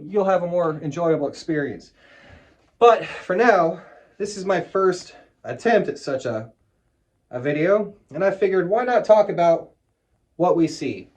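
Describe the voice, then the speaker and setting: A man's voice: fairly high pitch, darkish tone. A middle-aged man from the United States casually showing and explaining a wartime flag on camera, blunt and profane, with wry asides. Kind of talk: casual show-and-tell vlog.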